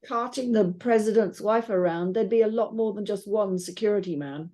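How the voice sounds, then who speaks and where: A woman's voice: medium pitch, moderate pace, warm tone. A woman discussing a script informally in a group, questioning and thinking aloud in a tentative way. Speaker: script panel reader.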